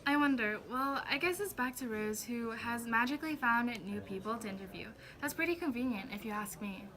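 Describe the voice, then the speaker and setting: Female news anchor, acted, fairly high voice, blunt, opinionated, scripted mock broadcast. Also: lively intonation, a little fast, crowd noise, natural voice. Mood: blunt, wry.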